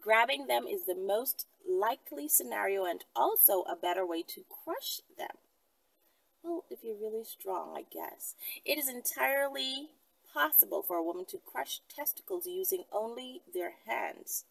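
A woman's voice: lively intonation, normal speed, vocal fry, dry recording, distant mic. A woman in her thirties chatting casually to camera from inside a car, speaking emphatically and repeating herself, with frequent check-ins to her viewers. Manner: emphatic, repetitive, checks in with "right?" and "okay?". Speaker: vlogger answering viewer questions. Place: inside a car, often moving. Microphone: camera or phone mounted at arm's length. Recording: noisy car interior, off-mic, vehicle rumble. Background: loud vehicle and road noise.

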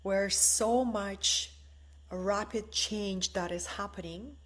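A woman in her forties, originally from the former Soviet Union, giving a soft, meditative spiritual talk from her living room on a webcam. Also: mid-range voice. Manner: slow, soft and meditative, often asking "right?". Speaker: spiritual teacher. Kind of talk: live-streamed spiritual talk. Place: bright living room at home, indoors.

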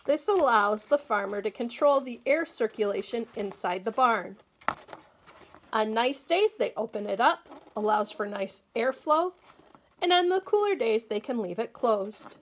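A woman with a fairly high, light voice walks through a table-top craft one step at a time, clear and patient. She is recorded in a small indoor room with faint hiss behind her. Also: lively intonation, unhurried. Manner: clear, patient instructional delivery. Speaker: agriculture educator.

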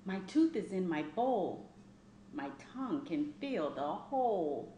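A middle-aged woman reads a children's picture book aloud in an expressive, sing-song storytelling style, speaking deliberately into a webcam mic in a small room. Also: on the low side. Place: small plain indoor room. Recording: roomy echo, faint background noise.

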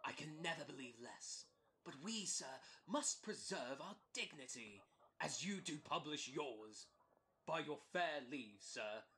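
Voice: medium pitch, animated, unhurried, pushed and bright, a little hiss or hum. An actor playing a scheming, mock-courtly, goading swindler in an early modern comedy audio drama. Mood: smoothly flattering. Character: scheming, fast-talking con man flattering gentlemen, bullying merchant.

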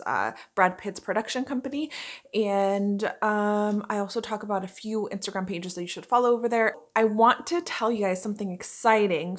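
A young woman talks to camera in a small indoor room as a vlogger would. Her pitch sits in the middle of the female range. She is chatty and informal, explaining things in an easy conversational style. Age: young adult. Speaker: vlogger, actor. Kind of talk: casual vlog talk to camera. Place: small furnished bedroom, indoors.

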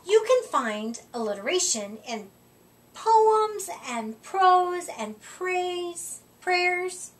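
A middle-aged woman reading a rhyming picture book aloud to children, with deliberate, expressive emphasis, recorded a short way from the mic. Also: extremely high pitch. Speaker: children's storytime reader. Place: indoor room, seated before a curtain. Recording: voice distant from the microphone.

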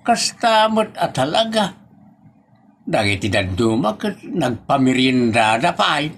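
A man's voice: medium pitch, very animated, a little slow, a bit bright, slight background noise. A man playing an exasperated, teasing older village father in an Ilocano comedy drama, stammering and exclaiming with an Ilocano accent. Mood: amused, concerned. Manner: stammering, repeated interjections and exclamations.

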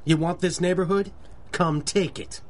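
A man's voice: high-pitched, fairly even intonation, normal speed, very noisy, close to an everyday voice. Man playing a blustering old street racketeer. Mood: belligerent. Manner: blustering, confrontational. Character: belligerent old male neighbourhood racketeer. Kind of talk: 1930s radio drama acting.

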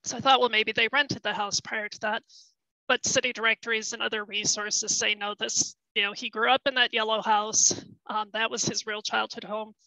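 A middle-aged woman presents historical research in an online talk, explaining carefully with frequent ums and small restarts. Her voice is bright and slightly high, and it is starting to give out. The sound is close and dry, through a headset at home. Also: little pitch movement, normal speed, dry recording, rough recording. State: losing her voice. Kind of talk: webinar presentation.

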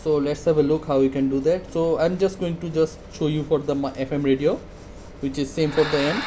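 A man demonstrating a product casually, hesitant and halting, often repeating his words. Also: a little high, fairly even intonation, highs cut off, noisy background. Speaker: product reviewer.

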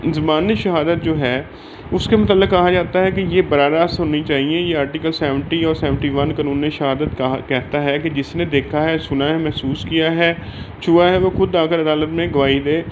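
A man's voice: fairly high pitch, steady tone, normal speed. A man with a Pakistani accent explaining material in an informal lecture, leaning on filler phrases, recorded on a phone. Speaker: law lecturer. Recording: dull lo-fi audio with faint background noise.

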